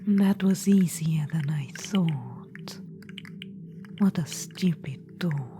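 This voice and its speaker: A woman voicing a haughty ancient witch-queen trapped in an orb, speaking in a soft, regal, condescending whisper for an ASMR roleplay. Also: low voice, drawn-out words, noisy background.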